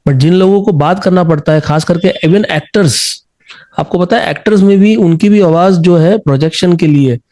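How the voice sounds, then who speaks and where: A man's voice: high voice, mellow. A man with an Indian accent speaking as an encouraging singing coach in a conversational interview, mixing in English phrases.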